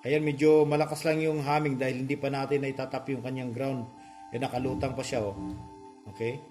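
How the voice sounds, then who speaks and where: A Filipino man with a soft, mellow voice gives a casual hands-on repair demonstration. He hosts as he works, explaining step by step and often checking that the listener is following. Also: medium pitch, little pitch movement, fairly quick, faint music behind. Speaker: repair channel host.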